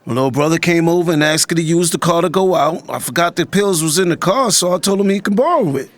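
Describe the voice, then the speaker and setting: An actor playing a calm, loyal young man with casual phrasing in a first-person dramatized audiobook. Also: fairly high pitch, fast talker, natural voice. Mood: nervous, respectful.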